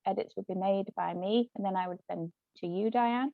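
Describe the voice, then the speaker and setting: A woman's voice: mid-range voice, moderate pace, vocal fry, no echo. A woman author and ghostwriter chatting casually as an interview guest on a video call. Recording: thin phone-like call audio, dry.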